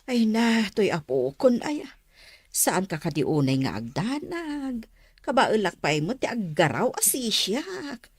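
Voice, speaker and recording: An actress playing a doting elderly grandmother in a radio drama, gentle and reassuring. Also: medium pitch, big pitch swings, slow, slightly rough, no echo, studio quality, full character voice. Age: older. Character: frail but proud elderly grandmother, doting.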